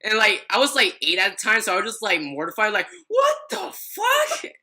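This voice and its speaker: A woman's voice: mid-range voice, wildly swooping pitch, moderate pace, sharp tone, slight background noise. A young adult woman telling a personal story in casual podcast chat, rambling and often stumbling over her words.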